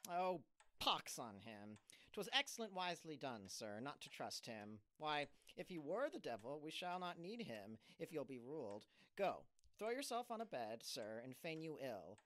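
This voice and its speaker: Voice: medium pitch, sing-song, normal speed, fairly bright tone. An actor playing a confident, scheming plotter in an amateur audio drama, with a persuasive, theatrical manner. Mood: sly urging. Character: scheming, confident confidant coaching a gentleman in trickery. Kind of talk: amateur audio drama of an early modern comedy.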